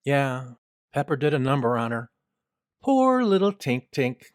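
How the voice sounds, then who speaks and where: A man's voice: mid-range voice, big pitch swings, measured pace, smooth, no room sound, studio quality. A man playing a loyal, protective mouse comrade in an audio drama, firm and earnest in manner. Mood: firm, concerned.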